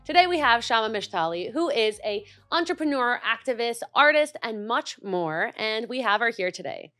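A woman hosting a podcast, introducing her show and guest in a lively, expressive way. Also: fairly high pitch, normal speed, no room sound, soft background music. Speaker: podcast host. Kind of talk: podcast interview.

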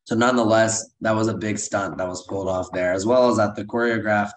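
Low, slightly hoarse American man, roomy sound. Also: steady tone, fairly quick. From United States. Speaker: co-host of a film and TV review show. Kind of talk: upbeat podcast chat. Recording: roomy echo.

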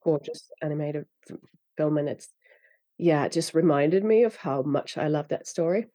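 Finnish woman with a low, mellow voice. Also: normal speed, dry recording. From Finland. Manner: rambling, many fillers and restarts, laughs often. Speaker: author guest. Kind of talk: casual interview chat.

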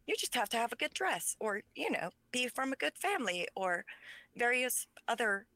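A woman's voice: fairly high pitch, sing-song, normal speed, pushed and bright, no room sound. A woman whose first language is Pennsylvania Dutch, talking as an author guest in an interview, speaking passionately and with emphasis. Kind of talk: conversational interview.

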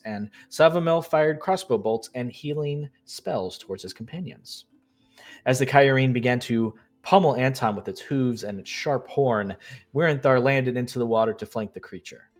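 A man hosting a tabletop role-playing show, getting over a cold and sounding a bit nasal, giving a recap in a steady storytelling voice with frequent fillers. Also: mid-range voice. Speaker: game master and host. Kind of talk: show intro and recap narration.

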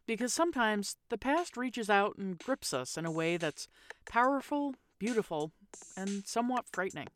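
A woman's voice: mid-range voice, big pitch swings, normal speed. A woman hosting a podcast, reading scripted narration for a spooky storytelling show. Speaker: podcast host. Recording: music playing under the voice. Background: music.

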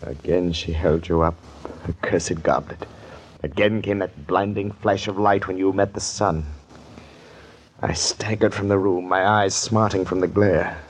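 An actor playing a tormented, obsessed island governor in a melodramatic, anguished 1940s radio drama style. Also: male voice, low voice, fairly even intonation, unhurried. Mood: brooding, haunted. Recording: noisy vintage 1940s radio recording.

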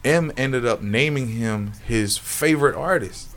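Relaxed Southern man, rapper guest. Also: medium pitch, measured pace. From South Georgia. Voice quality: raspy at times. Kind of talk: casual interview chat.

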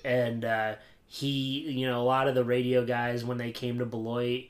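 Young american guy hosting a podcast, average pitch, laid back and casual. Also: level tone, normal speed. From Pennsylvania, United States. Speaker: podcast host. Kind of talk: casual chat.

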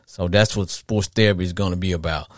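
Deep-voiced Southern man hosting a podcast. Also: fairly even intonation, fast, dry recording, clean studio sound. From southern United States. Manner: folksy, rambling, repeats phrases for emphasis. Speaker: podcast host. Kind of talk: casual sports talk monologue.

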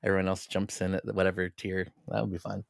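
A man co-hosting a gaming podcast, talking casually in a measured, thoughtful way. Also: low voice, little pitch movement, gravelly, dry recording, studio quality. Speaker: podcast co-host.

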